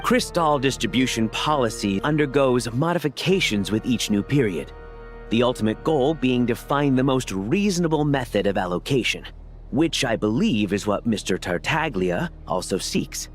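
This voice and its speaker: A man's voice: medium pitch, natural voice. A man playing a cautious, polished scholar of finance in a video game, lecturing in a formal, diplomatic manner.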